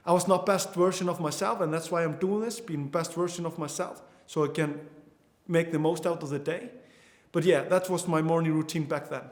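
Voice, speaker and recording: Earnest young Icelandic man, echoey room. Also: on the high side. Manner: earnest, with frequent restarts and fillers. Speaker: course instructor. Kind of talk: online course lecture.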